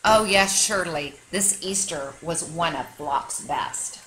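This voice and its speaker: A woman reading a children's picture book aloud like a teacher, with expressive, dramatic storytelling for young listeners. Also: fairly low pitch, slow, slight background noise, poor audio.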